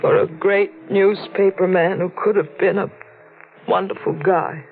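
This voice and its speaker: An actress playing a tearful, lovelorn young brunette in a plaintive, melodramatic 1940s radio style. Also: female voice, medium pitch, steady tone, measured pace, lightly acted. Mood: mournful. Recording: tinny old radio broadcast, music behind.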